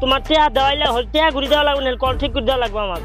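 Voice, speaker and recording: A man's voice: very high voice, very fast, fairly bright tone. A man making a prank call, arguing insistently and complaining in a repetitive, pleading way over music. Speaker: prank caller.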